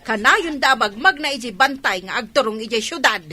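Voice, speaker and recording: A woman's voice: on the high side, lively intonation, normal speed, sharp tone. A woman playing a gossipy, insinuating neighbour in a radio drama, with an Ilocano accent.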